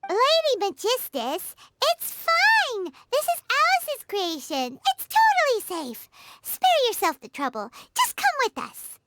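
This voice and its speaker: Tiny game fairy sidekick: extremely high, wildly swooping, childlike, bossy, excitable. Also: female voice, a little slow, very noisy, exaggerated voice. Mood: reassuring. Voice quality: squeaky, childlike. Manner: refers to self in third person. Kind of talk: anime video game voice acting. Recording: background music throughout.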